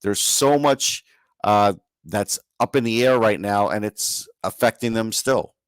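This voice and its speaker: A man's voice: on the low side, a little slow, clear, resonant tone, dry recording. A man co-hosting a sports podcast, giving casual, opinionated commentary in a plain-spoken way.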